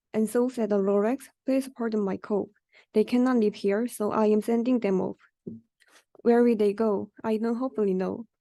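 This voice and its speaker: A woman's voice: medium pitch, warm tone, no echo. A young adult woman student reading a rhyming children's story aloud, stumbling over unfamiliar words. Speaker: student reader.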